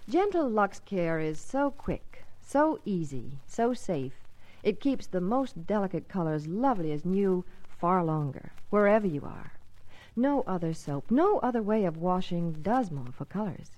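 A woman's voice: on the low side, sing-song, unhurried, darkish tone, poor audio. An American woman reading a scripted 1950s radio promotional segment as a Hollywood reporter, polished in delivery.

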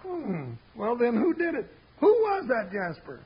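An actor playing a big, excitable, grumbling cowboy sidekick in a radio western, with a raspy voice and folksy American Western drawl. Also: male voice, extremely high pitch, measured pace, full character voice. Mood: curious, puzzled. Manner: folksy, exclamatory, comic complaining. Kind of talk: 1950s radio western acting. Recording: dull, noisy old radio transcription.

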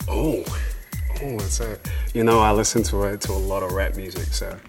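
A man answering interview questions in a relaxed, slightly hesitant way. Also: lowish voice, normal speed, music in the background. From New Zealand. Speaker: actor interviewed.

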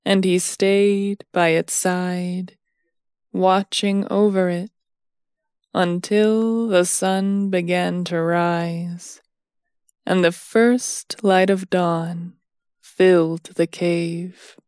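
A woman narrates a bedtime story at an extremely slow pace. Her voice sits a little low and is recorded dry and close, with no room sound. She is soothing and measured, resting between phrases. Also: steady tone, a little mellow, clear, resonant tone, no room sound.

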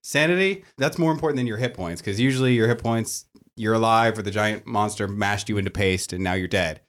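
A man's voice: on the low side, fairly quick, a bit bright. A man acting as game master, casually explaining tabletop game rules in a joking, chatty way.

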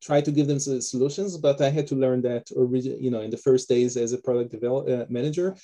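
A man from Israel with an Israeli accent, a guest author talking casually on a video call from his home office, with many pauses and fillers. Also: medium pitch, steady tone, mellow. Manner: hesitant, many fillers and restarts. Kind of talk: casual interview talk.

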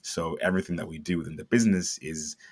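A young man from Zambia, a company founder telling his story in a chatty interview, with lots of fillers and bursts of excitement. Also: low voice, fast talker, breathy edge. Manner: chatty, many fillers, animated when excited. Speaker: startup founder guest.

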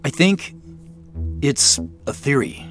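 A man's voice: on the high side, big pitch swings, slow pace, a bit bright, faint music behind, full character voice. A man playing a casual, probing detective in a naturalistic audio drama, dry and inquisitive. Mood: questioning. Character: dry, inquisitive male detective working a case.

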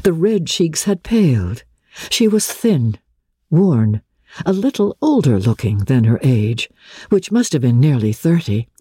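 A woman narrating classic literature as a dramatic reading, with expressive, measured storytelling. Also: deep voice, breathy edge, no room sound, studio quality. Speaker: audiobook narrator.

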